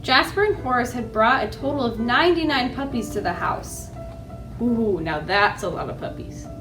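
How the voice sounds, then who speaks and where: A woman's voice: fairly high pitch, lively intonation, normal speed. A woman storyteller reading a children's storybook aloud expressively, with a dramatic manner. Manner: dramatic, with asides to young listeners. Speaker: library storyteller. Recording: echoey room with faint music.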